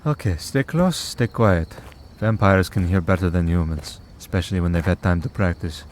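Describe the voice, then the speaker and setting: A man playing a centuries-old vampire priest in an audio roleplay, calm, wry and merciful, talking in a dry, unhurried, politely sardonic way. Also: low voice, a little hiss or hum, natural voice. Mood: calm, guarded. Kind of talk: scripted audio roleplay, ASMR drama.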